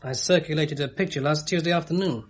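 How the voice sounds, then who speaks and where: Keen British junior detective, played by a man, matter-of-fact, fairly high voice, 1970s radio play. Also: fast, lightly acted. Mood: eager. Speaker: actor.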